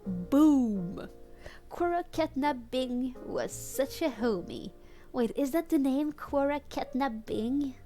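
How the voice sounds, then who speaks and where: Slow-paced, giggly woman reading fan fiction aloud. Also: high-pitched, lively intonation, warm tone, faint music behind. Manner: giggly, easily cracked up, acts out dialogue. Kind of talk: casual comedic read-aloud with amused commentary.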